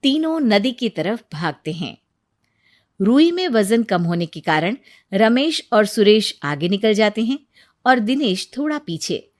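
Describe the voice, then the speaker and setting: Slow-paced woman storyteller. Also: medium pitch, sing-song, dry recording, studio quality. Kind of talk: scripted story narration.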